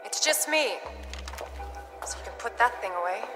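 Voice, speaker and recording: A woman's voice: fairly high pitch, lively intonation, normal speed, lightly acted. An actress playing a cool, secretive female investigator in a video game, terse and aloof. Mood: cool, guarded. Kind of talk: video game cutscene acting. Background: loud music.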